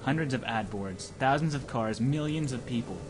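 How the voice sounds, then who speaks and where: A man's voice: medium pitch, moderate pace. A man co-hosting an amateur let's-play, reading visual novel narration aloud and adding joking asides. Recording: noisy home recording.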